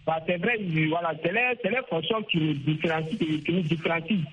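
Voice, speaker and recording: A man's voice: on the high side, a little fast, fairly bright tone. A man calling in to a radio show, giving his political view and restating his phrases. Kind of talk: spontaneous political opinion. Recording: muffled phone line, faint noise.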